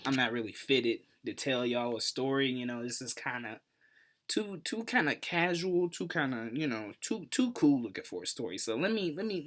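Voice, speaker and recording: A man in his twenties with an American accent, hosting a casual storytelling vlog in an animated, playful, slangy way. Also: medium pitch. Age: 20s. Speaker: YouTube host.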